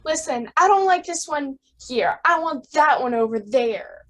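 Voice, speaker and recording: A woman's voice: high-pitched, lively intonation, a little slow, a bit bright. A woman voicing a bratty, defiant little boy in a radio play, whiny and demanding. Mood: petulant, demanding.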